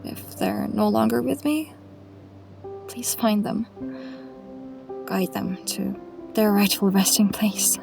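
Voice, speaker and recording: Slow, solemn fantasy heroine played by a woman. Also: mid-range voice, vocal fry. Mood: solemn prayer. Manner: solemn, deliberate, restrained. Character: weary, resolute, grieving young fantasy heroine. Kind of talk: fantasy audio drama acting. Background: music.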